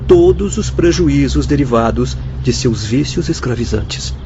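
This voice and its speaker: A man's voice: mid-range voice, animated, unhurried, background noise, natural voice. A Brazilian man acting in a radio drama as an earnest spirit mentor, explaining things formally and seriously. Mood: serious, explanatory. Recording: dull audio with music behind.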